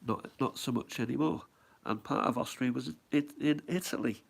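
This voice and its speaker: A man's voice: mid-range voice, normal speed, creaky, breathy edge. A middle-aged man with a head cold, chatting casually at home as he shows off his stamp album and muses aloud. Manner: rambling, musing aloud, asks himself questions. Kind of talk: casual show-and-tell.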